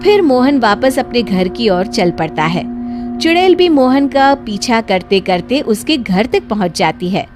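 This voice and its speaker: Woman, storyteller, scripted children's narration, higher pitch, faint music. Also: big pitch swings, unhurried.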